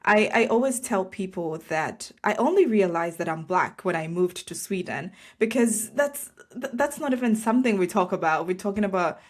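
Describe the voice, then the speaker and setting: A woman's voice: medium pitch, lively intonation, moderate pace, hardly any pauses. A woman from Zambia hosting a podcast interview, agreeing with her guest and asking questions in a casual conversational way. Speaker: podcast host.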